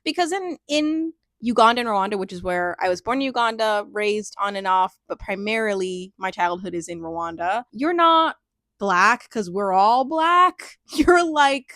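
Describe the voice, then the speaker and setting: A young adult woman speaking candidly in a reflective personal monologue, thoughtful and searching, with frequent "like" fillers and soft laughs. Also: medium pitch, dry recording, studio quality. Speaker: personal storyteller.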